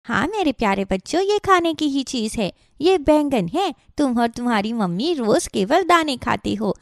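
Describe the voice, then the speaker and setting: A woman voicing a kind, helpful female rabbit in a children's animal tale, gentle, encouraging and expressive. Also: high-pitched, normal speed, vocal fry, no room sound, studio quality, exaggerated voice. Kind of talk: children's cartoon storytelling.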